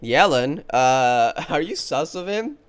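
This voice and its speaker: A man's voice: a little high, big pitch swings, slow pace, clean tone. A man casually commentating on a game playthrough live, chatty and laid-back in his short reactions. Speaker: game streamer. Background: game music.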